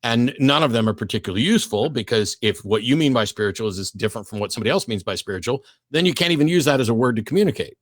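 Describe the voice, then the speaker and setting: A man's voice: medium pitch, animated, rapid-fire, few pauses, dry recording, clean studio sound. A male host arguing with a caller, firm and insistent. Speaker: talk show host. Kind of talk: call-in debate.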